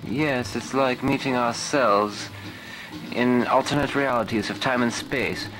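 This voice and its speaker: A man's voice: medium pitch, measured pace, music in the background, natural voice, low-quality recording. Man acting an earnest, stilted military hero. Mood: pondering, earnest. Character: earnest military hero puzzling over parallel worlds. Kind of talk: amateur audio drama acting.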